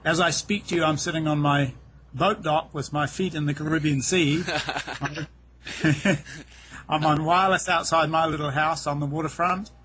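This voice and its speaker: A man's voice: on the high side, steady tone, normal speed, fairly bright tone. A middle-aged New Zealand man with a slightly raised voice talks easily from an outdoor dock. He laughs readily and stumbles over the first words of his sentences. A faint hum of outdoor ambience sits under his voice. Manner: chatty, laughs easily, repeats words as he starts. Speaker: guest, real estate agent. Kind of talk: phone interview, relaxed explaining. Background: boats passing.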